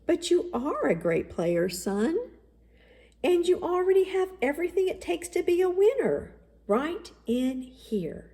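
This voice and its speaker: Caring storybook dad, read by a woman, gentle, encouraging, unhurried. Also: very high voice, very animated, pitched above the performer's own voice, full character voice. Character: caring, encouraging father of a young boy. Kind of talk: children's storybook read-aloud.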